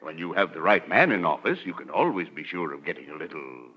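An actor playing a middle-aged, glad-handing, scheming mayor with an American accent and pompous, wheedling patter, in 1940s radio comedy style. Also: male voice, low voice, big pitch swings, a little fast, husky, full character voice. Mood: unctuous, glad-handing. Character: glad-handing, scheming small-town mayor, unctuous and blustery. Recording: muffled vintage 1940s radio recording.

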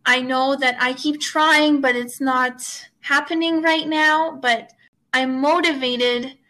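A woman's voice: sits high, steady tone, takes it slowly, sharp tone, low-quality recording. A woman whose first language is Russian, telling her own story in an interview in an earnest, encouraging way. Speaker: guest, author and teacher. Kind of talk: conversational interview.

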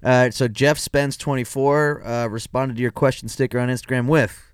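A man's voice: mid-range voice, steady tone, moderate pace, clear, resonant tone, no echo, studio quality. A young man co-hosting a podcast, reading listener questions and joking around in loose, profane chat. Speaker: podcast co-host.

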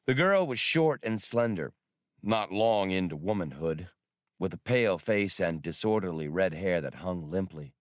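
Deep-voiced male audiobook narrator, close and dry. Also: expressive, moderate pace, dry recording. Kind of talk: scripted fiction narration.

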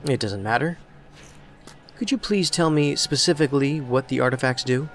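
A man's voice: mid-range voice, moderate pace, fairly bright tone, faint music behind, close to an everyday voice. An actor playing an earnest, persistent male federal investigator in a science fiction audio drama, asking direct, probing questions. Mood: persistent, impatient.

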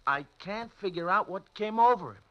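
A man playing a calm hospital attendant explaining a patient's escape, in a 1940s radio drama. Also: sits high, moderate pace, highs cut off. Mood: matter-of-fact. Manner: plain, matter-of-fact.

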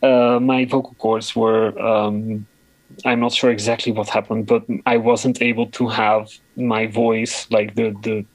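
A man with a deep voice giving a personal account in an interview, often pausing and searching for words. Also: level tone, no echo, rough recording. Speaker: interview guest.

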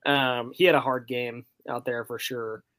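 Male podcast panelist, average pitch, informal and slightly tentative sports talk, dry close sound. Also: little pitch movement, a little slow, a bit bright, no room sound. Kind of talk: casual sports chat.

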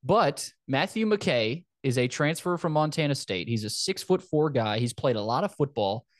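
A man hosting a sports podcast, talking casually through a football preview in a halting way, repeating words and phrases. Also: mid-range voice, animated, dry recording, studio quality.

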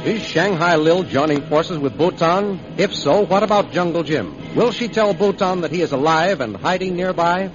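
Dull old radio sound: male serial announcer, emphatic scripted promo read, very animated inflection. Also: a little high. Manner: dramatic, emphatic. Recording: muffled old radio recording with music.

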